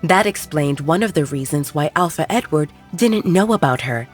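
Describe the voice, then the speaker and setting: A woman reading a fantasy story aloud in an even, measured way. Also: low voice, soft background music. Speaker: audiobook narrator. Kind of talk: scripted storybook narration.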